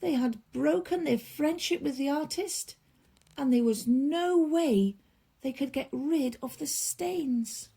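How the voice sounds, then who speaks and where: A woman storyteller reading a children's bedtime story aloud, expressively, with drawn-out emphasis and hushed drops. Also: high-pitched, gravelly.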